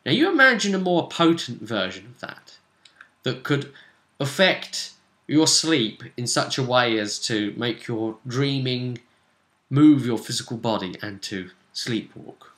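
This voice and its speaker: A man casually narrating a tabletop roleplaying game as game master, in a halting, conversational way. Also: mid-range voice, animated, fairly bright tone. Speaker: game master recapping a campaign session. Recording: echoey room.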